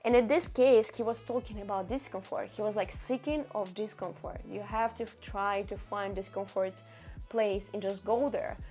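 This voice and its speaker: A woman who is not a native English speaker, chatting casually to camera about books in halting, friendly phrasing with restarts. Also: medium pitch, steady tone, dark tone, faint music behind. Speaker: book vlogger.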